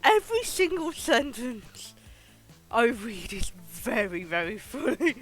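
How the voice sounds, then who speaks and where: Streamer playing a game n reading text out loud, super lively bright voice, giggly, bit of music behind. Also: mid-range voice, slow pace. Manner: animated, giggly, swearing casually. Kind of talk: live game playthrough commentary, reading game text aloud.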